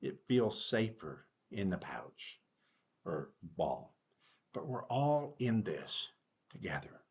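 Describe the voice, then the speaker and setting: A man voicing a reassuring, empathetic kangaroo pupil in a gentle, encouraging storybook read-aloud. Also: low-pitched, sing-song, takes it slowly, heavily acted.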